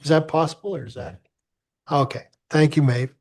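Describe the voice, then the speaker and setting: A man serving as mayor, chairing a council meeting in a halting, polite way and often searching for words. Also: on the high side, darkish tone. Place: council chamber.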